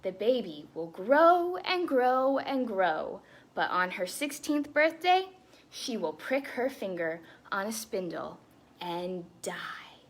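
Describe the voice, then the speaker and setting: A woman voicing a furious, vengeful evil fairy from a storybook, proclaiming in a drawn-out, theatrical way. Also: mid-range voice, very animated, heavily acted. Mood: menacing.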